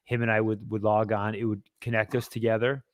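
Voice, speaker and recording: Low flat-voiced man, earnest co-host. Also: no room sound. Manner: earnest, measured, with restarts. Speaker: co-host and founder of the platform. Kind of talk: casual pitch of a project.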